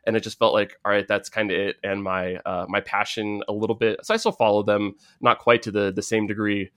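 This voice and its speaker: A man from the Bay Area with an American accent, hosting a casual sports podcast in a halting, rambling, filler-heavy way. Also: on the low side, level tone, fairly bright tone, no room sound, studio quality. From San Francisco Bay Area, United States. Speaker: podcast host.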